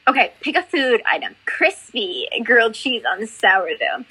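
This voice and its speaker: Young woman reading out quiz picks, giggly, bright kinda husky voice. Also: fairly high pitch, sing-song, measured pace, poor audio. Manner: giggly, reads options aloud. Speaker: quiz reader. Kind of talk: casual chat with friends.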